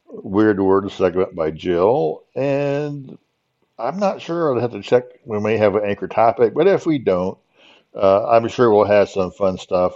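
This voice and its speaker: Casting: casual male host, fairly low pitch, wandering hesitant delivery, mildly lo-fi sound. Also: a little mellow. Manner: hesitant, rambling. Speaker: podcast host. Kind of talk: casual, unscripted chat. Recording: slightly dull, lo-fi sound.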